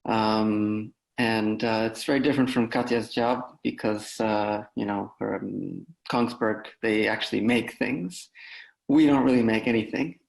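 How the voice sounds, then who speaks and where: A man's voice: on the low side, unhurried, gravelly, rough recording. A man in his thirties from Poland, Polish his first language, giving a loose, filler-heavy career talk on a video call. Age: 30s. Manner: relaxed, loose, with many fillers and restarts. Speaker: guest speaker, data analyst.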